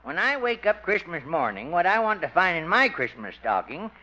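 An old country doctor who loves to tease, in 1950s radio comedy style. The voice is high and piercing with a joking delivery, heard through a dull old recording. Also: male voice, big pitch swings, normal speed, bright, forceful tone, full character voice. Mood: teasing. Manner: mischievous, joking. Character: teasing, wisecracking small-town old doctor.

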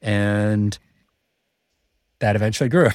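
Guy with a lowish voice on a podcast, earnest, restarts a lot, dry close sound. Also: slow pace, no echo. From born in China, raised in Prague, moved to Vancouver. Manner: earnest storytelling with many restarts. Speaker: guest, MBA student and finance executive. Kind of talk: podcast interview.

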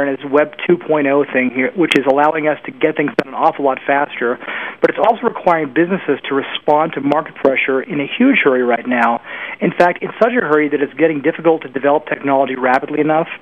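A man's voice: a little high, a little hiss or hum. Man on a tinny phone line. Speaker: guest, internet industry pundit. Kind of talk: conversational industry commentary. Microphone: telephone call.

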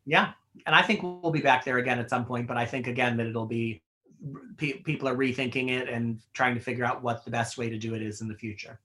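A man's voice: medium pitch, fairly quick, pushed and bright. A middle-aged man who works as a fashion editorial director, answering interview questions in a chatty, rambling way full of "you know" and "like".

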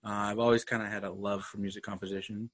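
A man's voice: lowish voice, level tone, fast. A young man co-hosting a casual chat, talking easily with many fillers and laughs. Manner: chatty, laughing, filler-heavy. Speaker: podcast co-host.

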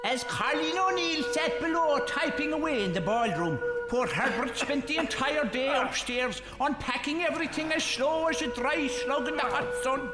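An actor playing a droll, arch narrator of a scripted audio drama story. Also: male voice, very high voice, lively intonation, moderate pace, few pauses. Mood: droll, suspenseful. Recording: echoey reverberant hall, loud music behind.

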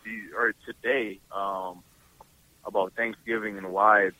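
A man, a college football player giving casual, halting interview answers, often repeating words and restarting. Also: on the low side, little pitch movement, sharp tone, no echo, rough recording. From Toronto, Canada. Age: early 20s.

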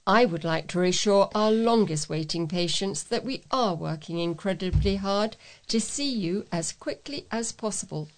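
A woman's voice: mid-range voice, animated, normal speed. A woman reading scripted local news items as a volunteer newsreader.

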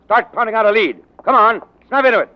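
An actor playing a ruthless, domineering newspaper editor who barks orders in 1940s radio drama style. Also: male voice, high voice, fast, close to an everyday voice. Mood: urgent, commanding. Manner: barking, domineering, commanding. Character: ruthless, bullying, fast-scheming newspaper editor, adult man. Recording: muffled 1940s radio recording.

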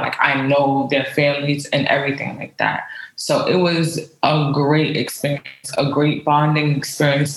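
A woman with an American accent talking conversationally as an interview guest, telling personal stories in an informal, emphatic way. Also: low-pitched. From United States. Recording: roomy, echoey sound.

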